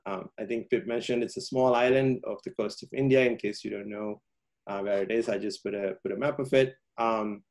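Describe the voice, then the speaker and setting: A man's voice: mid-range voice, a little mellow. A man from Sri Lanka giving a public science talk over a video call, with many ums and uhs and frequent restarts.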